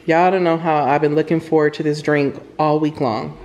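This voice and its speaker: A woman's voice: low voice, fairly even intonation, normal speed, darkish tone, highs cut off. A woman in her thirties vlogging casually, talking directly and familiarly to her viewers. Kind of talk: casual chatty vlog. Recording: music behind the voice. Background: background music.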